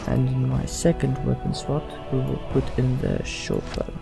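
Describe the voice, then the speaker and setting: A man's voice: mid-range voice, wildly swooping pitch, normal speed, creaky. A man hosting a let's play, musing aloud in casual game commentary with some role-play. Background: game music.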